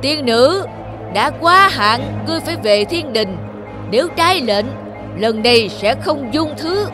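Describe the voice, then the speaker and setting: A man playing a wind god who serves heaven, formal and forceful, in a cartoon fairy tale. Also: very high-pitched, expressive, measured pace, over music. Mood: threatening. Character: male wind god, deferential courtier, harsh enforcer. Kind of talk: animated fairy tale acting.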